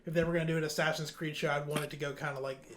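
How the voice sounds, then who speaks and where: Higher-voiced man hosting casual news chat. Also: little pitch movement, rapid-fire, fairly bright tone, a little hiss or hum. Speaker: podcast host.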